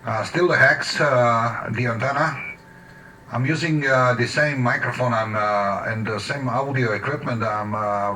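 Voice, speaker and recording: A man chatting haltingly as an amateur radio operator in his home shack. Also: mid-range voice, pushed and bright. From Slovenia. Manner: halting, hesitant phrasing. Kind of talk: casual radio chat. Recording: distant mic, faint shack noise.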